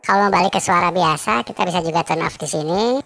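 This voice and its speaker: A man voicing a small baby through a pitch-raising voice-changer filter, explaining software. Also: quick pace, creaky, soft background music, heavily acted. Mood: cute, matter-of-fact. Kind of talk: software tutorial narration.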